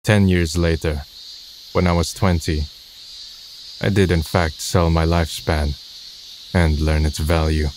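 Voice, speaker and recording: A man's voice: low-pitched, flat delivery, measured pace, long pauses, darkish tone, a little hiss or hum. A man playing a gloomy, self-deprecating twenty-year-old who narrates his own story in a subdued, resigned way. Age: young adult. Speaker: narrator and protagonist. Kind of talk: scripted first-person narration. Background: background music.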